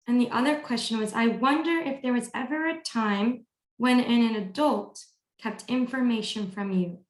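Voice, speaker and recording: A woman's voice: a little high, normal speed. A woman leading an online group training session, politely giving instructions and prompts to participants. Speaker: training facilitator. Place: online video call. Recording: roomy, echoey video call audio.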